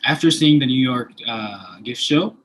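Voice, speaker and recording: Halting man hosting a casual interview. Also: mid-range voice, expressive, fairly bright tone. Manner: halting, hesitant phrasing with pauses. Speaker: interview host.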